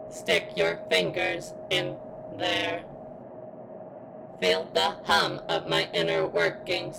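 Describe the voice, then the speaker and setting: A woman voicing a female security robot that craves freedom, speaking formally and haltingly in a strained, pained ASMR roleplay delivery. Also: very deep voice, gravelly, background noise, heavily acted. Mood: intimate, pained. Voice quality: strained, as if in pain.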